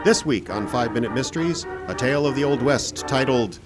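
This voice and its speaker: A man's voice: mid-range voice, lively intonation, fairly quick, over music. A man announcing a live radio show in scripted old-time radio style.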